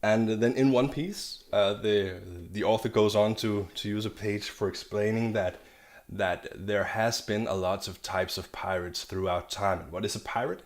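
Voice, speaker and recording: A man's voice: lowish voice, steady tone, normal speed. A man from Denmark, giving an enthusiastic, rambling video review of a manga and often restarting his sentences. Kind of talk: casual video review monologue.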